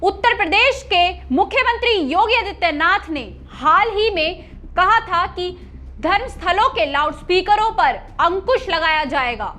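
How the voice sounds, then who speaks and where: A woman's voice: extremely high pitch, bright, forceful tone. A woman news anchor reading a scripted news report.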